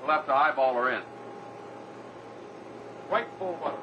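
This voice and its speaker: A man's voice: fairly high pitch, slow. An actor playing a male navy officer in a 1970s training film, calling out clipped bridge orders. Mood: commanding. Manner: clipped command calls. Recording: dull, noisy 1970s film soundtrack.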